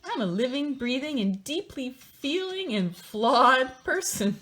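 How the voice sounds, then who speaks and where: A woman's voice: high voice, big pitch swings, unhurried, a bit bright. A woman reading a book aloud in a theatrical, mocking way, breaking into casual, sardonic asides of her own.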